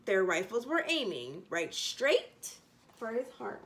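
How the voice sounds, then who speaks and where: Woman storyteller, high voice, very lively swoops in pitch, theatrical emphasis, children's rhyming read-aloud. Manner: expressive, theatrical emphasis with drawn-out phrases. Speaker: storyteller reading a children's book.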